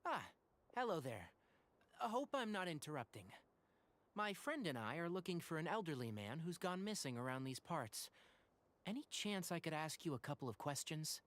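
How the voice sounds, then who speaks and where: An actor playing a polite, friendly but cautious young traveler in a video game, courteous and earnest. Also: male voice, fairly high pitch, very animated, moderate pace, a bit bright.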